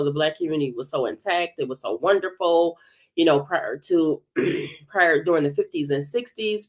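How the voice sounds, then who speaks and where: A woman hosting a livestream commentary, congested from a bad head cold, talking hesitantly with many filled pauses. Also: lowish voice. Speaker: commentary channel host.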